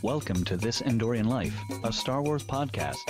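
A man's voice: mid-range voice, animated, moderate pace, over loud music. A nerdy-sounding man co-hosting a podcast promo, joking a little nervously in casual banter. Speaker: podcast co-host.

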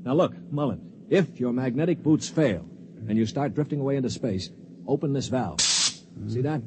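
A man's voice: medium pitch, normal speed. A man narrating and playing the wry, commanding lead of a 1950s science fiction radio drama. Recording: noisy 1950s radio broadcast.

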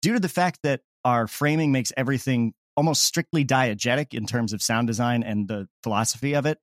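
A man's voice: mid-range voice, no room sound, studio quality. A man talking casually and at length as an interview guest about his creative work. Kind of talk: casual, talkative interview chat.

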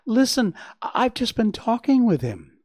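A man voicing an eager, curious and polite young male fawn in a storybook, with an excited, earnest manner. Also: high voice, unhurried, gravelly, slightly rough, no echo, clean studio sound, voice pushed up, heavily acted. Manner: excited, wide-eyed, earnest.

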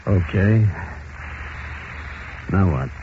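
A determined, plain-spoken federal agent in a 1940s radio play. His voice sits fairly low and his delivery is clipped and eager. The old recording is muffled, with clear noise. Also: expressive, extremely slow, full character voice. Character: keen, determined male FBI agent.

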